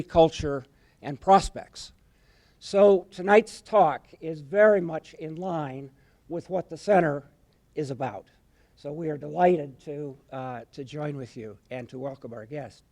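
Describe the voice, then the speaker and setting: An older man giving formal welcome remarks at a podium microphone in a lecture room, with fillers and a loudness that rises and falls. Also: a little high. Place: lecture room with a painting behind.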